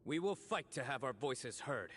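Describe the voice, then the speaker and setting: A man's voice: mid-range voice, very animated, moderate pace, a bit bright, a little hiss or hum. A man voicing a serious, resolute warrior in a video game, terse and earnest. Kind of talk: video game cutscene acting.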